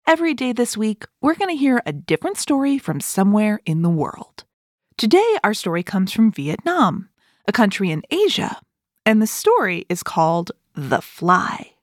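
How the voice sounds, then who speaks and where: A woman hosting a children's show, telling stories and giving simple instructions in an expressive way for young listeners. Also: mid-range voice, no echo. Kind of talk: scripted storytelling and instructions for children. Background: music.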